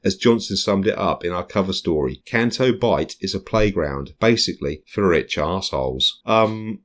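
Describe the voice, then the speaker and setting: Deep-voiced man musing casually. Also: lively intonation, moderate pace. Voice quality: low voice, hesitant with many ums. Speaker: podcast host. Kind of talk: casual chat, reading news aloud.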